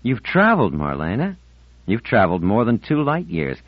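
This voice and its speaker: A man's voice: on the low side, wildly swooping pitch, normal speed, breathy edge, no room sound, dull audio, voice pushed up, full character voice. A man voicing a cheerful, teasing teenage boy in an audiobook, breezy and playfully reasoning.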